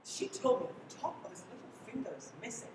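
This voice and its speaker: A woman's voice: a little high, sing-song, quick pace. A woman acting a wary, hesitant, earnest man on the run in a radio play. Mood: earnest, uneasy. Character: wary young man on the run, wrongly accused. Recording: reverberant hall, distant mic, clear background noise.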